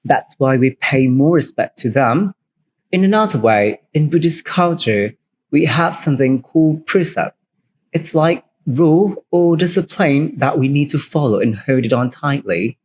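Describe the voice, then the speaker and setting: A man's voice: on the high side, animated, unhurried, a little mellow. A man from Thailand, a native Thai speaker co-hosting a podcast, explaining customs step by step in English with a Thai accent. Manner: plain, step-by-step explaining in second-language English. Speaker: podcast co-host. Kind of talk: explanatory cultural talk.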